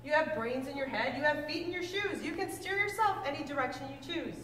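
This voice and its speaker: High-voiced woman reading aloud in echoey hall. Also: fairly quick, a bit bright. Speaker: teacher reading aloud to sixth grade students. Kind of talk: children's picture book read-aloud to a class. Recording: echoey hall, distant mic, faint noise.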